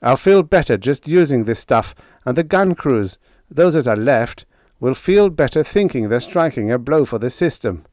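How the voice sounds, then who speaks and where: A man's voice: medium pitch, animated, normal speed, a little mellow, no echo, clean studio sound, voice pushed up, close to an everyday voice. A man voicing an eager, dutiful junior officer, reporting in a clipped, earnest way in an audiobook story. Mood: pleading, earnest. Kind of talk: dramatised audiobook character dialogue.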